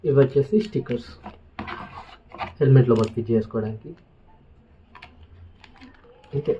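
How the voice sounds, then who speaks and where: Mellow, halting Telugu man vlogging. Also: mid-range voice, lo-fi sound, slight background noise. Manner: hesitant, halting, slips English words into speech. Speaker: vlogger presenting a product unboxing. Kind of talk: casual unscripted product walkthrough.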